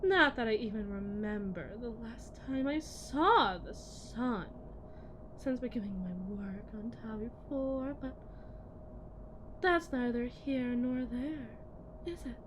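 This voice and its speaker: A woman playing a cold, condescending lead technician in an ASMR audio roleplay, speaking deliberately and often dropping toward a breathy whisper. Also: on the high side, a little hiss or hum, low-quality recording. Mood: clinical, detached. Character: cold, condescending female lead technician at shady tech company.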